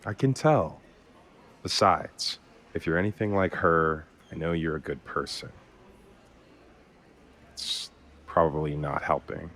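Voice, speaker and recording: An actor playing a weary, grieving brother who speaks haltingly and sincerely in naturalistic audio drama dialogue. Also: male voice, very deep voice, a bit bright, heavily acted. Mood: gentle, consoling. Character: weary, consoling man grieving a lost sister.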